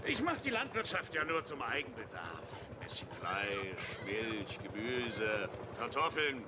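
An actor playing a chatty, coarse provincial farmer in a radio play, talking in a folksy, blunt, rambling way. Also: male voice, mid-range voice, very animated, measured pace. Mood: jovial, chatty. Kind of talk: German radio play acting. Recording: clear vehicle noise behind.

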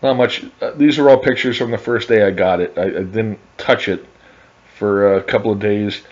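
A middle-aged man with a low voice talks casually over his photos, often pausing on fillers and restarting his sentences. The recording is dull and lo-fi, lacking crisp top end. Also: darkish tone. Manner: halting, many uh fillers and restarts. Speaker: hobby car restorer narrating his project.

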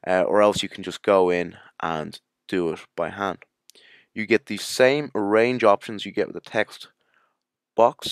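Low-pitched man narrating a software tutorial. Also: smooth, no echo, studio quality. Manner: informal, explanatory, step-by-step. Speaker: tutorial presenter.

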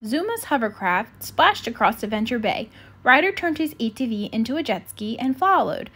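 A woman reading a children's storybook aloud in an expressive style. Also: fairly high pitch, normal speed, breathy edge. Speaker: children's book reader.

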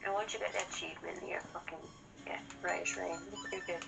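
A woman's voice: fairly low pitch, fairly even intonation, quick pace, vocal fry, rough recording. A woman chatting casually over gameplay as co-host, blunt and given to swearing. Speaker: co-host of a gaming show. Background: video game music.

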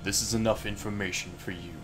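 A man's voice: fairly low pitch, fairly even intonation, quick pace, background noise, natural voice. A man voicing a serious, secretive, commanding hooded henchman in a game, speaking with stern condescension. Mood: stern, threatening.

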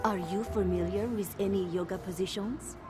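An actress playing a sultry, manipulative agent of an assassin contest in a video game, coy, condescending and seductive. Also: female voice, medium pitch, fairly even intonation, normal speed, over music, natural voice. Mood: seductive, teasing. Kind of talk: dramatic video game acting.

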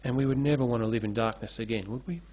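Pastor preaching at a lectern. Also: male voice, mid-range voice, fast. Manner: earnest, gestures freely while preaching at a lectern. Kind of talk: sermon. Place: church stage with curtain backdrop. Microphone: lectern-mounted microphone. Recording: dull lo-fi sermon recording.

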